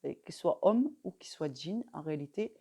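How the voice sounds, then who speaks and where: A woman teaching a religious study method in French, speaking calmly and measuredly, with frequent pauses and fillers. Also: sits low, breathy edge. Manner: calm, measured, pausing often with fillers. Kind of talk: instructional talk to camera.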